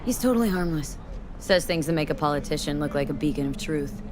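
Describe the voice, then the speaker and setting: An actress playing a tough, streetwise mercenary in a video game, casual, blunt and wryly sceptical. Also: female voice, low-pitched, expressive, brisk.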